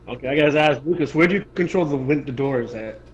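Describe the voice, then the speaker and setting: A man's voice: on the high side, fast talker. A man chatting casually as a player in a tabletop roleplaying game. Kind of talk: casual chat during a game. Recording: dull, slightly noisy lo-fi audio.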